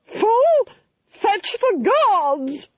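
Haughty storybook Duchess, acted by a narrator, high-pitched, imperious and cutting. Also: sing-song, extremely slow, no room sound, highs cut off, heavily acted. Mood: furious shriek. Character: imperious, icy, shrieking duchess.

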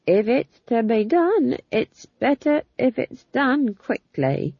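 A woman plays an old witch in an audiobook, defiant and unflappable. She talks back in a rustic, deadpan way, husky and expressive, on a slightly dull recording. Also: a little high, slow pace, no room sound, voice pushed up, full character voice. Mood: sly accusation. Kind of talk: audiobook character voice.

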